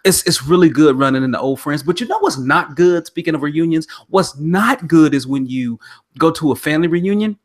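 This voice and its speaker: A man in his thirties from Oklahoma hosting a casual podcast in a natural baritone, slangy and animated, laughing often. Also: brisk. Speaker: podcast host.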